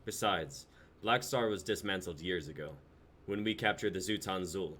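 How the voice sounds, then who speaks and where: A man's voice: low-pitched, moderate pace, fairly bright tone. A man playing a stern, authoritative commander in a dramatic reading of a sci-fi script. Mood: stern, dismissive. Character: gruff male commander of a sci-fi military coalition.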